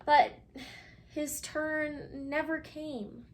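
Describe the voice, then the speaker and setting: A woman reading a picture book aloud for children, expressively and with dramatic pauses, speaking directly to her young listeners. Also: high-pitched, fairly even intonation, slight background noise. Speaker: children's story reader.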